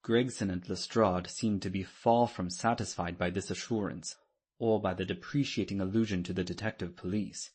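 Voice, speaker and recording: Male narrator for classic fiction: formal, measured literary read, fairly deep, rough-edged tone. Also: fairly even intonation, a little mellow. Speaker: audiobook narrator. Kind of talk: scripted dramatic literary narration.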